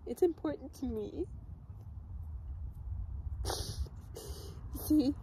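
A woman performing a dramatic monologue as a bitter, desperate teenage outcast on an emergency call, halting and emotionally intense. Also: high voice, animated, background noise. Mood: unsettlingly resigned. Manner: slow, halting, emotionally intense delivery with pauses.

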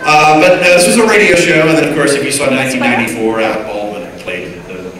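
A man's voice: mid-range voice, fast. An American man speaks casually to a room as a panel host, rambling in loose slang. His voice is picked up from a distance in a very echoey hall, with a busy crowd around him. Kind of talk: casual, joking live panel introduction. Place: hotel conference room before an audience. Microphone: handheld PA mic, captured by distant camera. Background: audience crowd.

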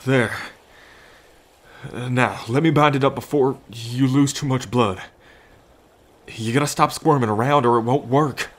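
A man playing a gentle, anxious lone fisherman in an ASMR roleplay, with a halting, reassuring manner. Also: medium pitch, steady tone, lightly acted. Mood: gentle, focused.

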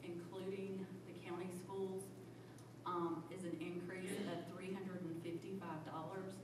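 Woman, finance officer presenting tax figures at a public hearing; methodical, fillers; recorded from far off in a noisy, echoey hall. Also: on the low side. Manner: methodical, plain, frequent "um" fillers. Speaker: county finance director. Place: county commission meeting room. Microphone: room recording from far off.

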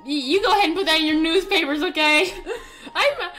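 A woman's voice: very high-pitched, a little fast, a bit bright, faint music behind. A woman doing casual, playful roleplay commentary over a video game, rambling and stammering as she goes. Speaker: gaming YouTuber.

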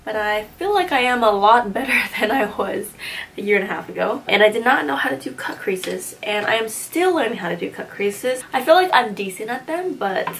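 A woman's voice: fairly high pitch, animated, a little fast, vocal fry, fairly bright tone, slight background noise. A young woman in her twenties with a stuffy nose from a cold, chatting casually and slangily while walking through a makeup tutorial in a small room. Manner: chatty, slangy and self-teasing. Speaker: beauty vlogger.

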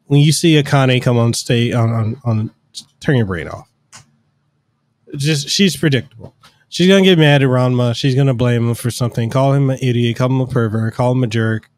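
A man's voice: mid-range voice, moderate pace, a little mellow, no echo. A man co-hosting a podcast, talking casually and rambling through long explanations with fillers, on a studio mic at home. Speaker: podcast co-host. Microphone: studio mic on arm, headphones.

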